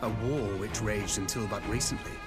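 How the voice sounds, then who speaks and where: A man playing a composed spy and strategist in a video game cutscene, speaking in a measured, formal, articulate way. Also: on the low side, over loud music, lightly acted. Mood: grave, calculating. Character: composed male spy and strategist laying out plans.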